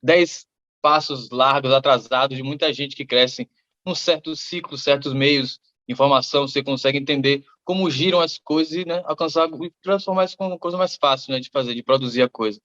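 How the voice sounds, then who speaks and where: A man's voice: medium pitch, a little fast, a bit bright. Musing man, dull audio. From Salvador, Bahia, Brazil. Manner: reflective, rambling, many fillers. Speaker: musician guest. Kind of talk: interview answers.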